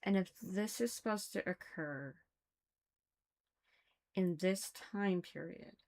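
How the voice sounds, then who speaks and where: A woman with a slightly low voice talks very slowly in casual commentary, as if working out her thoughts aloud. She is critical, halting often and repeating phrases to drive them home. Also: a little mellow. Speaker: reader commenting on a religious text.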